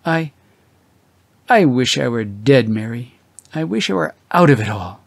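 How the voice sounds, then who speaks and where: Guilt-ridden elderly husband played by a man, pained and lamenting, slow, for audiobook, dry recording. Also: mid-range voice, very animated, gravelly, no echo, heavily acted. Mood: anguished, bitter.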